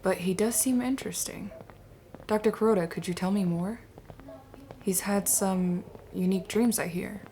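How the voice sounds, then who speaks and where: A woman playing a calm, polite and inquisitive new hospital doctor in a horror manga dub. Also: medium pitch, moderate pace, a little hiss or hum, natural voice. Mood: calm curiosity.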